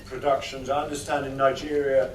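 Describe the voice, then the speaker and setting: A man moderating a film Q&A, briskly handing the floor to speakers. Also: mid-range voice, level tone, background noise. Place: cinema auditorium. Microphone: point-and-shoot camera across the room. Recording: echoey hall, distant camera mic.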